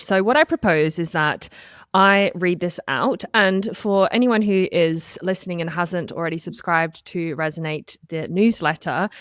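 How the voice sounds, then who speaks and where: A woman's voice: lowish voice, no echo, clean studio sound. A middle-aged woman hosting a podcast interview, chatting expressively and laughing often. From Australia and Britain. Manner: animated, laughs often, reads aloud expressively. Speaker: podcast host. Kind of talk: conversational interview.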